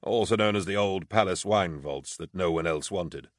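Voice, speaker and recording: A man voicing a gruff, commanding military commissar in an audiobook, dry and sardonic. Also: low voice, normal speed, no echo, natural voice. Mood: wry, grumbling. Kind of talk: audiobook character acting.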